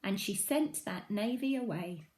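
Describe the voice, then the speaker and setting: A woman's voice: medium pitch, animated, measured pace, darkish tone, slight background noise. A woman reading a children's story aloud, expressively, with dramatic emphasis on key phrases. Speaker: storybook reader at a primary school.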